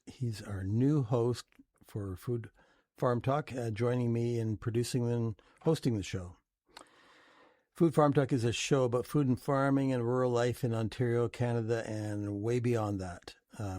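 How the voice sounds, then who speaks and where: A man hosting a campus radio talk show, speaking in a relaxed way with frequent "uh" pauses. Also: medium pitch, dry recording, studio quality. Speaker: radio host. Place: radio studio.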